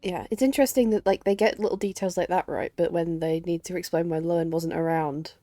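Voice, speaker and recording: Female streamer reacting casually to a game, blunt, sweary, warm dark tone, dry close sound. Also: lowish voice, fairly even intonation, a little fast, dry recording.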